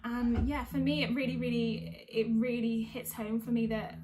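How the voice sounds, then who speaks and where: A woman's voice: on the high side, flat delivery, moderate pace, music in the background. Woman singer-songwriter chatting informally. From North West of England. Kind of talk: casual chat between songs.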